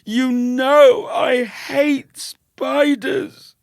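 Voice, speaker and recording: Frightened English boy, very high voice, sobbing, shrieking, panicky, storybook character. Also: steady tone, very slow, vocal fry, no echo, studio quality, exaggerated voice. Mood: sobbing outrage. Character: terrified English boy cousin, sobbing and shrieking about spiders.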